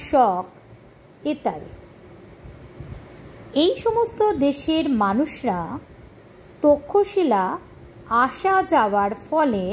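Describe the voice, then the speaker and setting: High-pitched woman tutor dictating slowly. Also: a little mellow, a little hiss or hum. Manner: deliberate, spelling out punctuation as dictation. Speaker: history tutor for schoolchildren. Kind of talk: dictating question-and-answer lesson.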